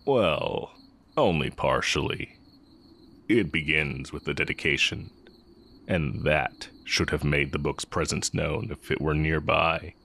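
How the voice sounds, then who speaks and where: A man playing a tender, sly heretic mage and former teacher in a romantic audio roleplay, speaking in measured, formal phrases. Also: very deep voice, creaky, bright, forceful tone, exaggerated voice. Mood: confiding, earnest. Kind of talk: romantic audio roleplay, intimate scripted acting.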